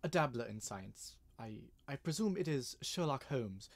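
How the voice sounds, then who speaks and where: Young man as eccentric scientific enthusiast, halting, husky, dry sound, old radio play. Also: mid-range voice, lively intonation, no room sound. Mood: polite, curious. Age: young adult. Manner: fussy, halting, eager. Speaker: student actor. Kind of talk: 1930s-style radio drama acting.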